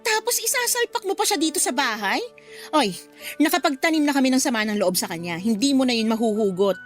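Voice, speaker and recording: Radio drama, female: sassy, complaining Filipina mistress, high-pitched, light music underneath. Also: animated, quick pace. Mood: indignant. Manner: sassy, exclamatory. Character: feisty, sassy young kept woman, quick to complain. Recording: music behind the voice.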